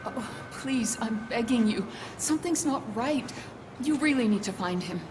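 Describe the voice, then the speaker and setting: Woman playing an anxious high-voiced mother. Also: lively intonation, moderate pace, background noise, close to an everyday voice. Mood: worried, pleading. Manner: pleading, distressed. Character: anxious, pleading mother of a missing son. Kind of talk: video game voice acting.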